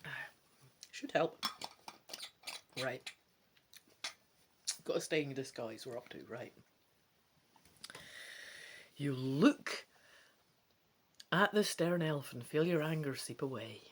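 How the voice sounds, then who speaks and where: Animated man reading a gamebook. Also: medium pitch, slow, long pauses, slightly rough. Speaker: gamebook player and narrator. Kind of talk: dramatic storybook narration.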